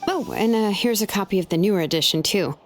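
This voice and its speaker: A woman's voice: mid-range voice, expressive, quick pace, natural voice. A woman playing an easygoing, matter-of-fact young woman, a considerate friend, in video game dialogue. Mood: matter-of-fact, explaining. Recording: game music behind the voice.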